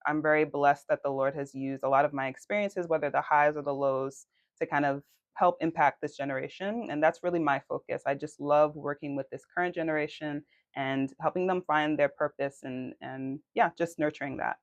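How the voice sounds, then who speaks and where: A woman's voice: deep voice, steady tone, brisk, dry recording, highs cut off. A young adult woman from Queens, co-hosting a podcast in an earnest, faith-filled way and laughing easily. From New York City, Queens. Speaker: podcast co-host. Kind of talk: casual chat.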